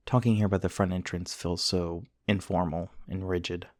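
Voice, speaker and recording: A man acts out an earnest male scientist in an intimate ASMR roleplay. His voice sits low, and he talks in polite, precise, wordy sentences with a touch of social awkwardness. The sound is dry, with no room echo. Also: steady tone, moderate pace, darkish tone, lightly acted. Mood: polite, mildly annoyed. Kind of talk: ASMR roleplay, one-sided acted conversation.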